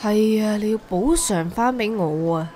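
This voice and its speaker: A woman's voice: medium pitch, a little slow, mellow, a little hiss or hum, natural voice. An actress with a Hong Kong Cantonese accent playing a brash, playful, boastful young woman in a radio drama, cheeky and exclamatory. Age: young adult.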